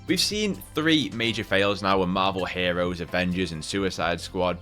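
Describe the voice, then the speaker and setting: A man's voice: fairly low pitch, bright, forceful tone. A man giving casual, chatty and opinionated video game commentary, speaking with emphasis. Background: background music.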